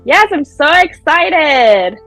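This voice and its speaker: A woman's voice: sits high, big pitch swings, takes it slowly, fairly bright tone, faint music behind. A woman from California whose first language is Mandarin, a guest chatting upbeat and loosely in an interview, with lots of "like" fillers. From San Jose, California. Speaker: podcast guest, life coach. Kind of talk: casual interview chat.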